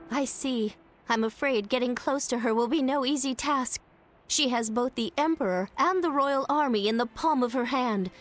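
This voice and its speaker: A woman playing a measured noble strategist. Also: fairly high pitch, close to an everyday voice. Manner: measured, formal.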